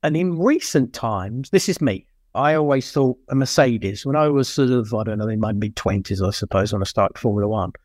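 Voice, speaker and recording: A man's voice: medium pitch, lively intonation, moderate pace, hardly any pauses, a little mellow, no room sound, studio quality. A middle-aged or older man co-hosting a podcast, chatting casually about motorsport in a rambling way, repeating words for emphasis. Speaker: podcast co-host.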